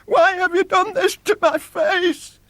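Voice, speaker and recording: A man's voice: very high-pitched, fairly even intonation, a little slow, fairly bright tone, no room sound, voice pushed up. A man voicing a frightened young boy in a horror story, plaintive, whimpering and tearful. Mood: anguished. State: crying.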